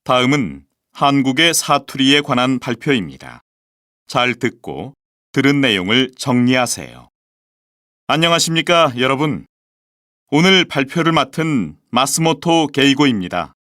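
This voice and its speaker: A man's voice: mid-range voice, big pitch swings, a little slow, pushed and bright, no echo. Man reading language lessons, dry studio sound. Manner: careful, evenly enunciated reading. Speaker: textbook audio voice actor. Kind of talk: scripted textbook dialogues and model presentation.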